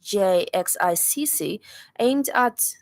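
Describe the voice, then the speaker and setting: A woman's voice: mid-range voice, animated, slow, no echo. Zambian woman reading radio news. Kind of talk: scripted news bulletin reading. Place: radio studio.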